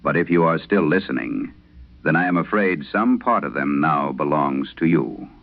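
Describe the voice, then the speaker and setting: A thin, phone-like vintage radio recording carries a man performing as a somber late-night horror storyteller. His voice is extremely deep and crackles with heavy fry. He speaks formally and deliberately, with grave dramatic pauses suited to an eerie radio drama. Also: animated, heavily acted. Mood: grave, ominous. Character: somber late-night host reading eerie letters aloud. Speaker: host of a horror audio drama. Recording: narrow-band vintage radio sound.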